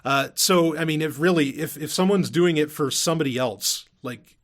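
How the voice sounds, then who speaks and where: Man, slightly high voice, podcast host, casual monologue, rambling, self-interrupting. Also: moderate pace. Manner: rambling, self-interrupting, with frequent hedging asides.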